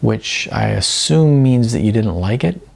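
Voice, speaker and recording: A narrator voicing a nervous, earnest young woman who makes arty indie films and speaks haltingly. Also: medium pitch, expressive, creaky, darkish tone, breathy edge, exaggerated voice. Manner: hesitant, halting, fidgety.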